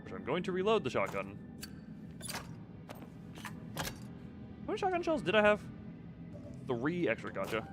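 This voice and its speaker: A man doing live gameplay commentary, thinking out loud and often repeating and drawing out his phrases as he muses to himself. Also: on the high side, wildly swooping pitch, moderate pace, pauses often, music in the background. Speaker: video game streamer.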